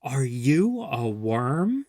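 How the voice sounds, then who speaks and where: A man's voice: mid-range voice, big pitch swings, very slow, mellow, no echo, clean studio sound, heavily acted. A man voicing a small, curious young bird boy who asks earnest questions.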